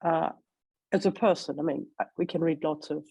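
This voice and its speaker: A woman from Ukraine with a Russian accent, a guest in a conversational interview, thoughtful and pausing to search for words. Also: sits low, fairly even intonation, creaky, dark tone, slightly rough, no room sound, rough recording.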